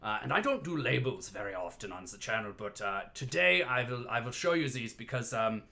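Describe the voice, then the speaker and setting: Man, very bright forceful voice, mid pitch, casual livestream host, playful theatrical patter. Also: animated, brisk, creaky. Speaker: livestream host mixing cocktails as "dungeon barkeep".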